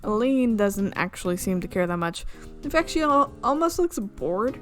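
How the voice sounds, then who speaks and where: A woman's voice: medium pitch, very animated, normal speed, soft background music. A woman reading visual novel narration aloud as a let's player, with casual reactions of her own.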